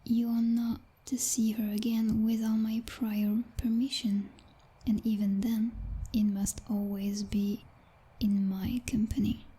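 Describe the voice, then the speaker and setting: A woman's voice: on the high side, level tone, measured pace, mellow, slightly rough. A woman playing a jealous, possessive vampire girlfriend in an ASMR roleplay, whispering breathily in a teasing, commanding and condescending way. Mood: jealous, scornful.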